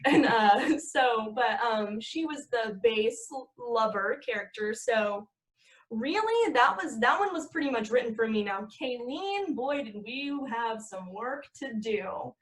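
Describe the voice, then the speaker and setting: Chatty young woman, bright higher voice. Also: lively intonation, measured pace, low-quality recording. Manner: chatty, heavy with "like" fillers, laughs easily. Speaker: podcast guest, actress. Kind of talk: casual conversation.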